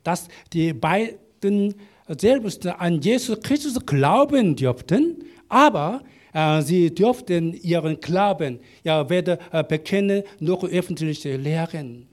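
A man preaching in German, a language he learned as an adult, halting often with fillers and restarts while an interpreter follows. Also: sits high, expressive. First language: not German. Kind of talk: sermon with live interpreter.

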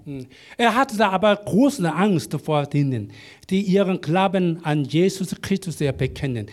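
Non-native guy preaching in german, high voice, slow and halting, lots of ums. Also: expressive, darkish tone. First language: not German. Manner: halting, many fillers and restarts. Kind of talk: sermon with live interpreter.

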